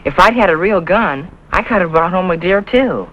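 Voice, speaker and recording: Eager, tender-hearted boy, high and excitable, 1950s TV drama with muffled soundtrack. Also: normal speed. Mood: eager, excited. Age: child. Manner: earnest, excitable, folksy. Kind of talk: 1950s television drama acting. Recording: dull, noisy 1950s TV soundtrack.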